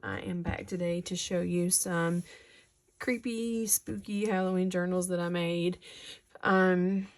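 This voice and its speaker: A woman's voice: on the low side, slow pace, no echo. A woman with a cold, a little sniffly, casually showing her handmade craft journals at her work table, rambling with many ums. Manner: relaxed, rambling, many ums and self-corrections. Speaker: craft channel host. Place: craft table indoors, hands-on demo.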